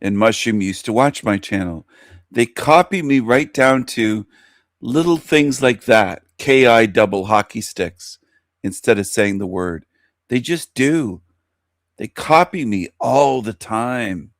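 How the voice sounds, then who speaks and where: A man's voice: mid-range voice, lively intonation, takes it slowly, no room sound, clean studio sound. Sneering man mocking a rival. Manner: sneering, scornful. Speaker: commentary youtuber. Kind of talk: mocking commentary.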